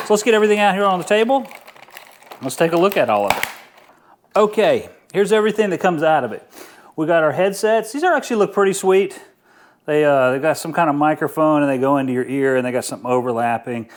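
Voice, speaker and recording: Higher-voiced man, casual gear reviewer. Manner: informal, easygoing, thinking aloud. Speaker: product reviewer, vlogger. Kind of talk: casual unboxing and review talk.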